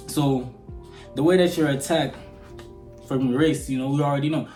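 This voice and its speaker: A man's voice: medium pitch, fairly even intonation, moderate pace, darkish tone, soft background music. Eighteen-year-old man with an American accent. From Sudan. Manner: rambling and conversational, often asks "you know what I'm saying?". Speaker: vlogger. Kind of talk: opinion rant to camera. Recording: roomy echo.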